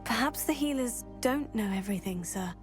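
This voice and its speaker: A woman plays an earnest teenage schoolgirl with a fairly high voice. She is polite and composed, in game cutscene style with faint music behind. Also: animated, moderate pace, close to an everyday voice. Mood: earnest, sympathetic. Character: polite, helpful, earnest teenage girl student at wizard school.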